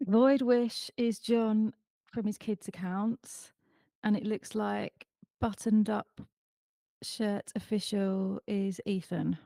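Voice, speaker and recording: A woman's voice: mid-range voice, fairly even intonation, slow pace, gravelly, mellow, no room sound. A woman co-hosting a casual live stream, teasing her partner and laughing often. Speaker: co-host.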